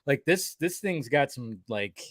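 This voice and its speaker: Excited dude recapping a cartoon, throws in little acted-out quotes, dry close mic. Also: male voice, medium pitch, dry recording. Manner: animated, swears casually, acts out scenes in quick quotes. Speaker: podcast co-host. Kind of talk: casual chat recapping a cartoon episode.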